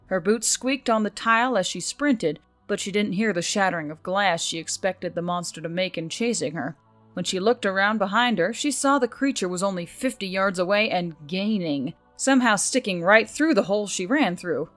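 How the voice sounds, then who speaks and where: A woman's voice: mid-range voice, lively intonation, moderate pace, a bit bright. A woman reading scripted story narration as the narrator, with music behind her.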